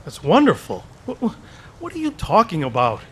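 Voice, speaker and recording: A man's voice: on the high side, wildly swooping pitch, fairly bright tone, a little hiss or hum. A wry, desperate everyman. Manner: fast-talking, wry. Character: desperate, wisecracking everyman husband. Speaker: radio actor. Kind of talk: 1940s radio acting.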